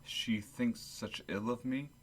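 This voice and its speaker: Humbled old miser, medium-pitched man acting. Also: fairly even intonation, slow. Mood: humbled, wistful. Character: old miser Scrooge, humbled and watching his family. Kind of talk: radio play acting.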